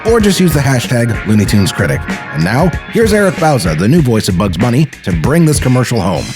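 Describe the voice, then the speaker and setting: A man co-hosting a comedy podcast, chatting casually in a joking, animated way. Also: mid-range voice, a little fast, music in the background. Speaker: podcast co-host.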